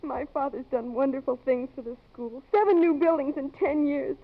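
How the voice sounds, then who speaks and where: An actress playing an earnest, distressed young college woman in a 1950s radio drama, speaking haltingly and emotionally. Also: sits high, steady tone. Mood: anguished. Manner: halting, emotional, repeating words. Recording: muffled, tinny old radio broadcast.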